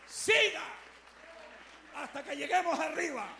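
A Salvadoran man preaching a fervent sermon as pastor, building to shouted repetitions, recorded live before a congregation. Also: very high voice, lively intonation, measured pace, vocal fry, some chatter behind, a little hiss or hum. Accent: Salvadoran Spanish. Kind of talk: Pentecostal-style sermon.

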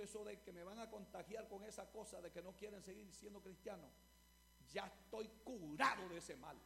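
A Salvadoran man preaching a fervent sermon as pastor, building to shouted repetitions, recorded live before a congregation. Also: high voice, vocal fry, slight background noise. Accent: Salvadoran Spanish. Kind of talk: Pentecostal-style sermon.

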